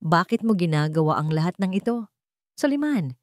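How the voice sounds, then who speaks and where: Filipina narrator doing a sweet humble young girl in an audiobook, filipino accent, polite and gentle, dry sound. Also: lowish voice, big pitch swings, moderate pace, no room sound. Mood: curious. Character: humble, kind-hearted young working woman. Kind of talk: audiobook character dialogue.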